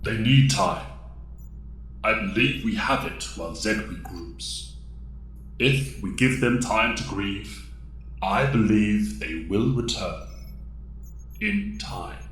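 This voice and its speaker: Man playing a slow, grave hero leader, echoing. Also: fairly low pitch, fairly bright tone. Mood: grave, restrained. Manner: formal, terse, stoic. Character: grave male leader of a depleted hero team. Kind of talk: audio drama acting.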